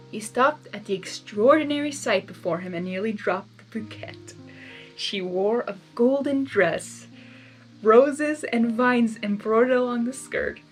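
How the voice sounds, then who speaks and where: A woman's voice: a little high, poor audio. A woman reading a story aloud, with candid, rambling and informal personal asides. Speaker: fan fiction reader and commentator.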